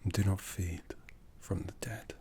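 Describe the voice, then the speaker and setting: A man playing an aloof, commanding aristocratic vampire in an ASMR roleplay, half-whispering slowly and deliberately with a breathy, seductive delivery. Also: low-pitched, fairly even intonation, dark tone. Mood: stern, instructive. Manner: slow, deliberate, measured.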